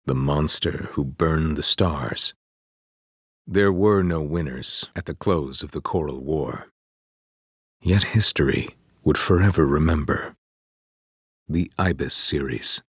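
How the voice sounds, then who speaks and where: Very deep, husky, ominous war-chronicle narrator. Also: male voice, a bit bright, no echo, studio quality, heavily acted. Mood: grave, ominous. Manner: grave, measured, deliberate, portentous. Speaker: game narrator. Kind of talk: scripted dramatic story narration.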